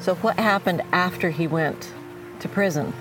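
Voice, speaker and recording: A woman's voice: fairly low pitch, normal speed, music in the background. A woman co-hosting an interview, asking questions in a probing, conversational way. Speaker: co-host.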